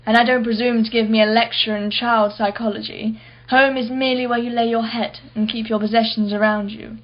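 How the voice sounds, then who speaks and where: A woman's voice: on the high side, level tone, brisk, lo-fi sound, natural voice. A woman playing a wealthy, blunt and haughty married woman in a radio play, clipped and defensive.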